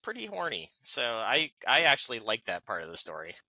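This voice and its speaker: A man with a low voice that sounds strongly bright and forceful talks easily and enthusiastically in a podcast chat. The sound is dry and close. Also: wildly swooping pitch, brisk, no echo, low-quality recording. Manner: easygoing and enthusiastic. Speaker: podcast co-host. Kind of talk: casual review chat.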